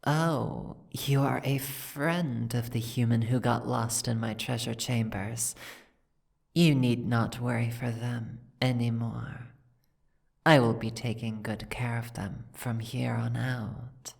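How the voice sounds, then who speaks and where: A woman voicing a possessive, regal dragon princess in a fantasy audio roleplay, speaking in a formal, imperious, measured way. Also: a bass voice, fairly even intonation, creaky, darkish tone, full character voice. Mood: possessive calm.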